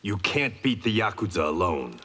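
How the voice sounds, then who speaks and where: A man's voice: low voice, sing-song, normal speed, slightly rough, exaggerated voice. An actor playing a grave, stern veteran swordsman mentor in a fighting game. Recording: reverberant hall, loud background noise.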